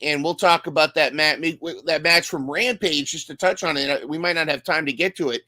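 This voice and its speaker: A man's voice: a little high, fairly even intonation, a little fast, sharp tone, no room sound, studio quality. A man co-hosting a casual recap podcast, talking enthusiastically and often restarting his sentences. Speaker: podcast co-host.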